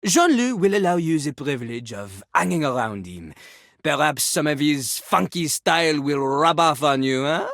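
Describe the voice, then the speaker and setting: Swaggering high-voiced French toilet rapper. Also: male voice, expressive, moderate pace, a bit bright, dry recording, studio quality. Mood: haughty. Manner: pompous, theatrical, refers to himself in third person. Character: boastful French talking toilet, swaggering rapper with a grand ego. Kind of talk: video game character acting.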